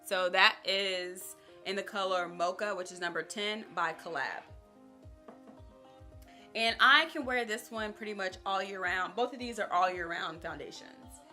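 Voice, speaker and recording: Young woman vlogging at home, bright and a bit raspy, chatty, faint music under her. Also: medium pitch, a little slow. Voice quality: slightly raspy. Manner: upbeat, chatty, talks to viewers directly. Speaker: beauty vlogger. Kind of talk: casual chat to camera.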